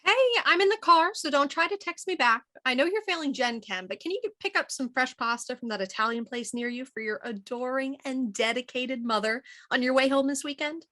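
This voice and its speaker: A woman's voice: sits high, a little fast, few pauses. An actress playing a loving, teasing mother leaving a voicemail for her daughter, heard as a distant phone message. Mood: affectionate. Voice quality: bright, fond, teasing.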